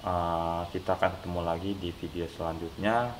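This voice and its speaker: A young man talks his way through an unboxing, his deep, nasal voice stuffy from a cold. He rambles unhurriedly with lots of fillers. The room is echoey and there is clear background noise behind him. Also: fairly even intonation, normal speed. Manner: rambling, hesitant with many fillers. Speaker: unboxing vlogger. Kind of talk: casual unboxing chat.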